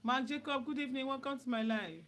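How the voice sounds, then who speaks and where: A woman's voice: high voice, quick pace, fairly bright tone. A Nigerian woman hosting a casual live chat, speaking directly and repeating her phrases for emphasis. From Anambra State, Nigeria. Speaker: livestream host giving relationship advice. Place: dim room at home.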